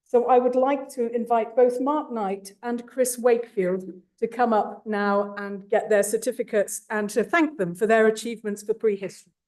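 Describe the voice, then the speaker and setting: A woman giving a formal awards speech, enthusiastic and warm, with small asides to the audience. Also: on the high side, steady tone, normal speed, hardly any pauses. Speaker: awards presenter.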